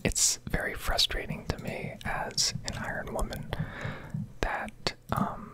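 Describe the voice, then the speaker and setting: A woman's voice: slow pace, bright, forceful tone. A woman doing ASMR commentary over gameplay, rambling and explaining in a whisper at a close microphone. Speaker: gaming video creator.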